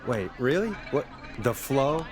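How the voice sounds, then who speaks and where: An actor playing a wry, put-upon late-night radio host on air in a video game. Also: male voice, medium pitch, lively intonation, unhurried, music in the background, close to an everyday voice. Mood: exasperated. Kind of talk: video game acting of live radio talk.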